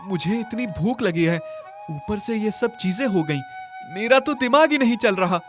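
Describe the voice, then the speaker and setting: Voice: mid-range voice, expressive, normal speed, music in the background, close to an everyday voice. An actor voicing a poor, devout, kind-hearted orphan boy in a children's cartoon story, earnest and full of wonder. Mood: bewildered.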